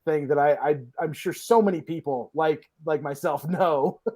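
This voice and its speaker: A man's voice: fairly high pitch, normal speed, mellow. A man in his early thirties, a podcast guest talking casually, rambling and restarting his sentences with a laugh. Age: 30s. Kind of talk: casual chat.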